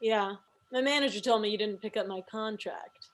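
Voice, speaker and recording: A woman's voice: medium pitch, normal speed, lightly acted. A woman playing a brash, meddling comedian of thirty in a comedy table read, breezy, cheeky and oblivious. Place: video call.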